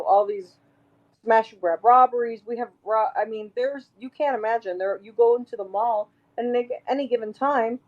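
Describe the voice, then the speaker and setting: Raspy woman on a muffled line. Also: a little high. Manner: emphatic, rambling with restarts. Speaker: interview guest. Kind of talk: casual interview talk.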